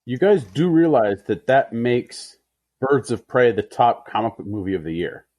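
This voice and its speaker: Lively man tossing in casual banter. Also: mid-range voice, moderate pace. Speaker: podcast co-host.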